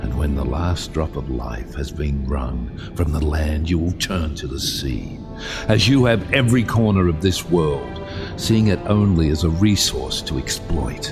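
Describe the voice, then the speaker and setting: An actor playing a bitter, vengeful sea captain in an audio drama, delivering a grandiose, menacing monologue over music. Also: male voice, very deep voice, lively intonation, a little slow, full character voice. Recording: clip with music underneath.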